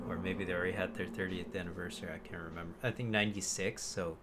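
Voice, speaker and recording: A man's voice: sits low, fairly even intonation, fast talker, background noise. A young man co-hosting a podcast, chatting casually about video games in a rambling, informal way with plenty of swearing. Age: young adult. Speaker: podcast co-host.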